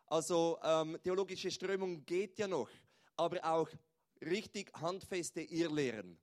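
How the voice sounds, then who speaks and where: High-voiced Swiss preacher. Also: male voice. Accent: Swiss German. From Switzerland. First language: Swiss German. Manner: informal, rhetorical questions to listeners. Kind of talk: sermon.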